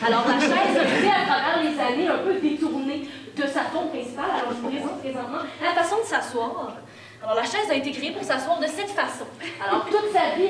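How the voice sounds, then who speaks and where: Brief: Quebec French woman improviser, high and playful, distant mic, loud noisy echo. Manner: playful, quick character switches. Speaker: improv performer. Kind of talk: improvised character sketches. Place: indoor improv camp room. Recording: echoey room from afar, loud noise.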